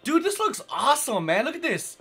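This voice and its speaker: A young man with a hoarse, raspy voice chatting casually through a let's play, rambling with lots of fillers. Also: very high voice, wildly swooping pitch, a little fast, sharp tone, soft background music. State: hoarse from yelling. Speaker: gaming channel host.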